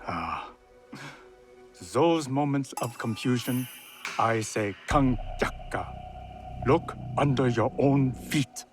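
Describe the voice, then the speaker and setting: A serene, wise mentor speaks slowly at a medium male pitch. He is measured and philosophical, in game cutscene style, with soft music behind. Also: lively intonation. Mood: calm. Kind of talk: video game cutscene acting.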